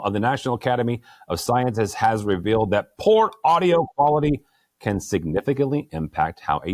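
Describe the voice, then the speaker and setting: Deep-voiced man hosting a news roundtable. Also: no echo. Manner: easygoing, joking asides. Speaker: host. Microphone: good studio microphone.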